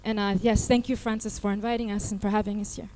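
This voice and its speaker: A woman's voice: medium pitch, little pitch movement, quick pace, dark tone, low-quality recording. A woman from Los Angeles with an American accent, giving a live workshop talk and questioning the audience. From Los Angeles, California. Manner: interactive, asks the audience questions. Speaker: IT support advisor presenting.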